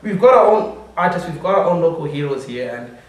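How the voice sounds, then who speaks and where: A man's voice: a little high, lively intonation, brisk. Affirming male interviewer in an echoey room. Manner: affirming, trails into soft fillers. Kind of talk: casual interview chat. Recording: echoey room, distant mic, faint noise.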